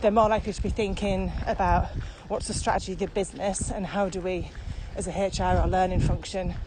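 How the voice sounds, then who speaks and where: A woman's voice: fairly low pitch, steady tone, fairly quick. A woman co-hosting a podcast, explaining things in casual conversation while out on a walk. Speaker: podcast co-host and virtual training consultant. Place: outdoors on a walk. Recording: very noisy outdoor recording, traffic and ambience.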